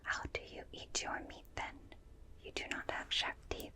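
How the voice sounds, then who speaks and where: A woman voicing a gentle, curious alien examiner in an ASMR roleplay, speaking in formal, clinical phrases in a breathy whisper, close to a binaural microphone. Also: lightly acted. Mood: curious, soothing. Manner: slow, clinical, fascinated, formal stilted phrasing.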